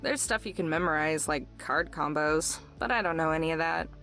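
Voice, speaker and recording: A woman's voice: low-pitched, a little fast, fairly bright tone, faint music behind. A woman playing a guarded but caring young drifter in a visual novel, speaking in a dry, casual way. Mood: blunt.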